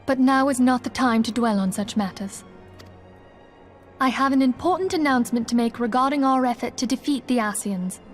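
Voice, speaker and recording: A woman's voice: on the high side, moderate pace, soft background music, natural voice. An actress playing a composed, earnest woman who leads an order, speaking formally and solemnly in a fantasy game. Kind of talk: scripted fantasy game dialogue.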